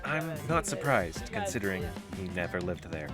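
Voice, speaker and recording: A woman's voice: rumbling low, sing-song, moderate pace, music in the background. Casting: female actor for sceptical spacefarer, swooping intonation, hesitant and easily exasperated, audio drama.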